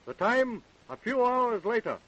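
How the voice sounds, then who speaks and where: A man's voice: extremely high pitch, sing-song, measured pace, a bit bright, muffled recording. A man narrating a radio drama as its announcer, in formal, scripted style.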